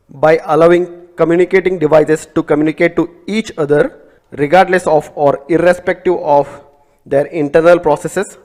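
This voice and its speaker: A man whose first language is Telugu, teaching a recorded networking class in a methodical way, often rephrasing terms and correcting small slips as he goes. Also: a little high. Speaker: instructor.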